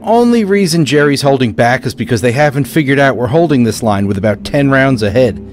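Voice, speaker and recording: A man's voice: medium pitch, lively intonation, fairly quick, faint music behind, natural voice. Anxious, blunt man playing an army private. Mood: grim. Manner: blunt, pessimistic. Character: anxious, insistent male American army private. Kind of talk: audio drama acting.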